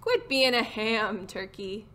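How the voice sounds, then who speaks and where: A woman's voice: a little high, slow pace, bright, forceful tone. A woman voicing blunt, teasing barnyard animals from a children's storybook, with exaggerated calls and emphatic scolding.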